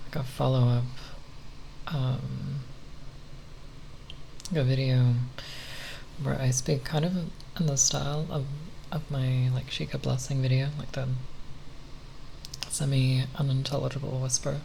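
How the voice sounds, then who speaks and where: A soft-spoken ASMR creator rambling unscripted, halting and often pausing mid-phrase. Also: medium pitch, level tone, gravelly, slight background noise, poor audio.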